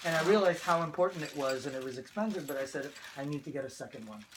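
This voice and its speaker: A man presenting antiques in a casual, excited show-and-tell, chatty and quick to giggle. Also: on the high side. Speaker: museum presenter showing antiques. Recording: clear background noise, clicking.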